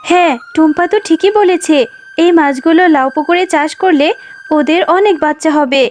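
A woman's voice: very high voice, fairly quick, a little mellow, slight background noise. A woman voicing a caring, agreeable mother fox, a village housewife in a children's cartoon. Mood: warm, agreeable. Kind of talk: Bengali children's cartoon dialogue.

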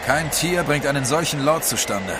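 A man's voice: on the high side, lively intonation, normal speed, close to an everyday voice. An actor playing a sober, cautious expedition man, terse and serious, in an audio drama. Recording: clear outdoor background noise.

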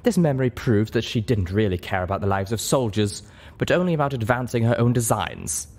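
A man's voice: on the low side, lively intonation, brisk, darkish tone. A man voicing an elderly female pony commander who is stern, scornful and blunt, in a storybook reading.

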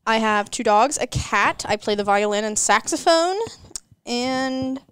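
A woman's voice: a little high, animated, a little slow, clean tone. A fifteen-year-old girl hosting a casual podcast with friends, laughing often and rambling. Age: teens. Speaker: podcast host. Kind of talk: casual chat.

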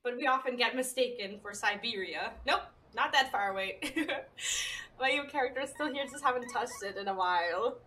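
A woman's voice: on the high side, normal speed, pushed and bright, far from the mic. A young woman from Serbia chatting casually with her live-stream chat and her dog while playing a game at home.

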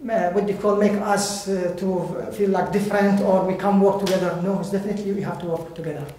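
Halting Iraqi-accented man, very echoey hall. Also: high-pitched, steady tone, darkish tone. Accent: Iraqi Arabic. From Iraq. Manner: halting, searching for words in non-native English. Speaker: guest speaker, volunteer. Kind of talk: personal testimony to an audience. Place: bright indoor hall, at a lectern before a seated audience. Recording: very echoey hall, faint background noise.

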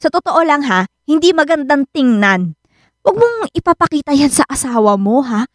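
Scolding Filipino best friend, radio drama, very high voice, dry sound. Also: female voice, very animated, normal speed, no echo. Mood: exasperated. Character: blunt, exasperated, scolding female best friend.

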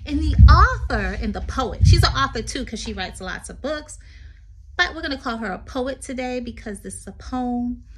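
A middle-aged woman reading a poem aloud to young children like a teacher, expressive and sing-song, with chatty asides. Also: on the high side, moderate pace, a little hiss or hum. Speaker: teacher reading to her class. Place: small room indoors. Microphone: phone at arm's length.

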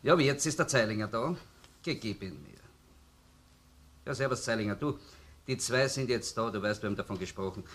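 Actor as low-voiced, doubting Viennese detective. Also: male voice, brisk, long pauses, a bit bright, slight background noise, lightly acted. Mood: businesslike. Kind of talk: German-language radio crime drama acting.

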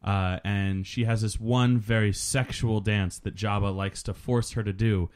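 A man's voice: fairly low pitch, fairly even intonation, measured pace, a little mellow, clear, resonant tone. A man co-hosting a casual movie commentary, loose and joking, often trailing off mid-sentence. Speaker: podcast co-host.

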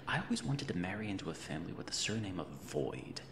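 In an echoing space over noisy ambience, a man acts out an eccentric mad reanimator for an ASMR horror roleplay. The male voice is gravelly and swoops widely, theatrical and fussily polite. He is a creepy, cheerful captor who rambles off on tangents. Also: low-pitched, sing-song, fast, heavily acted. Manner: theatrical, digressive, politely fussy, macabre. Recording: roomy echo with noisy ambient backdrop.

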